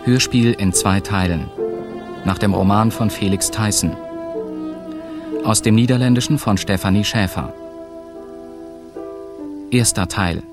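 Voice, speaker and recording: A man formally announcing the credits of a radio play, reading out items one by one with pauses. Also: fairly low pitch. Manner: formal, listing names with pauses. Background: string music.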